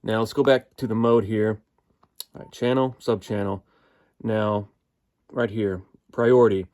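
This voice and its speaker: American guy, kinda low voice, just talking through a gear review, folksy and explaining stuff. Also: fairly even intonation, measured pace, darkish tone. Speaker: product reviewer. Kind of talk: casual unscripted gear review.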